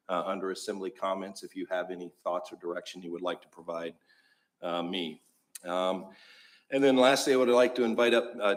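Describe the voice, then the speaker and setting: Low-pitched man reading off a procedural staff report, measured with some uhs. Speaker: borough staff official. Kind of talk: formal report at a public meeting.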